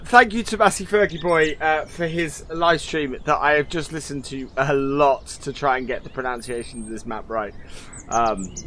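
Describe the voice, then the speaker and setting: A man's voice: fairly high pitch, a bit bright, slight background noise. A man casually commentating a farming game as he explores it, upbeat and full of ums and uhs. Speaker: gaming channel host.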